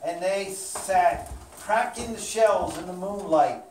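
A woman narrates a children's puppet show at a slow, measured pace. She is heard from a distance in an echoey room with faint noise. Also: fairly low pitch, darkish tone.

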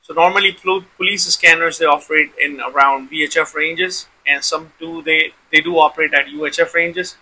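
A man's voice: a little high, steady tone, normal speed, few pauses, sharp tone, poor audio. A man hosting an informal tech demonstration, explaining step by step and often repeating himself. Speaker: tutorial host.

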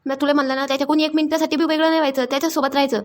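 Woman as cartoon village mom, high, extremely quick, nagging. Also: flat delivery, natural voice. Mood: angry, scolding. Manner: nagging, rapid repeated orders. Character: scolding, busy village mother. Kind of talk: Marathi comedy cartoon dialogue.

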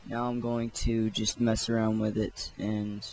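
A man narrating a software tutorial in a casual, offhand, unhurried way. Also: lowish voice, monotone. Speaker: tutorial host.

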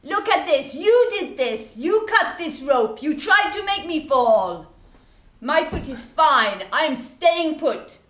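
A man's voice: extremely high pitch, expressive, takes it slowly, fairly bright tone, reverberant, pitched above the performer's own voice, full character voice. A man voicing a defiant, accusing female trapeze artist who repeats her accusations. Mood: angry. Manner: accusing, repetitive.

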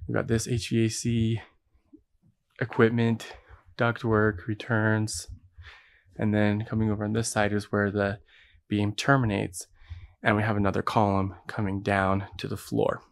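American man, low voice, flat intonation, builder, casual explanatory job-site walkthrough, matter-of-fact. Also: a little slow, creaky. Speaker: builder presenting a project. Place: indoors, large unfinished basement.

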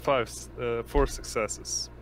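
A man running a tabletop game, talking casually and a little haltingly. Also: medium pitch, steady tone, background noise. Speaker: game master.

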